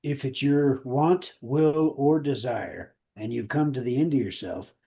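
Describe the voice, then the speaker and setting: A man with a soft-edged, mellow voice at a middle pitch, co-hosting a casual show. He speaks in a folksy, earnest manner, addressing listeners in plain colloquial language. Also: unhurried, vocal fry. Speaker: podcast co-host. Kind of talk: casual radio show talk with listener appeals.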